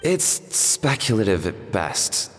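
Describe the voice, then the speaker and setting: An actor playing an earnest, scholarly healer in a video game, explaining things carefully and sincerely. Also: male voice, on the low side, big pitch swings, slow pace, faint music behind. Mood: earnest, explanatory. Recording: long echo, faint noise.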